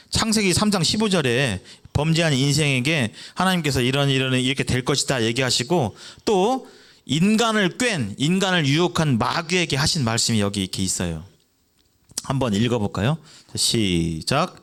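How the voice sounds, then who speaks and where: A young man preaching an evangelistic sermon. He keeps asking the congregation questions and answers them himself. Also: a bit bright. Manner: acts out children's voices in a brief high voice.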